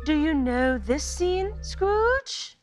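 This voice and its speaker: A woman's voice: sits high, expressive, drawn-out words, soft background music, exaggerated voice. A woman playing a solemn, commanding spirit in a radio play, speaking in a stately, formal way. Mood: probing.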